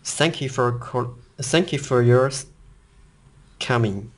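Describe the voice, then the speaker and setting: A man giving an unscripted product demonstration, halting and repeating himself as he searches for words. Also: medium pitch. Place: indoors at a worktop. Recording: noisy handheld recording with clicks.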